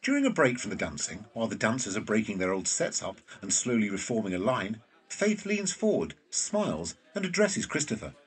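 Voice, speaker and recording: A man's voice: fairly low pitch, sing-song, brisk, few pauses, husky, low-quality recording. A man narrating an audio drama from a script as storyteller.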